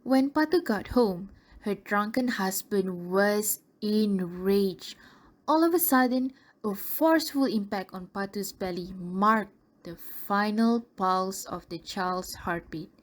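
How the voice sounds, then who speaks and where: A woman's voice: mid-range voice, takes it slowly, natural voice. A woman narrating a scripted radio drama in a storytelling style.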